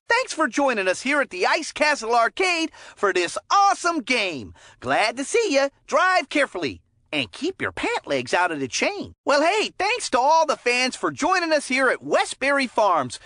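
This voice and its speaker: A goofy, folksy hockey commentator from a cartoon video game. The voice is very high and bright, swinging widely in pitch. The delivery is hammy, excitable announcer patter, full of homespun catchphrases. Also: female voice, no room sound, clean studio sound, heavily acted. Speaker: sports commentator.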